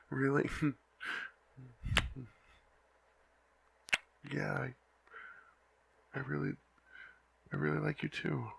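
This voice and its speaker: A man playing a gravelly, lovesick young knight. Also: mid-range voice, full character voice. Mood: flustered, giddy. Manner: halting, stammering, soft-spoken. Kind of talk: ASMR boyfriend roleplay.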